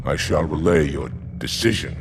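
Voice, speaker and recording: A man's voice: a bass voice, normal speed, vocal fry, background noise. An actor playing a proud, dutiful alien warrior commander in a video game, formal and stern in his speech. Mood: restrained deference. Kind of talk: video game cutscene acting. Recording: very loud dramatic game score behind.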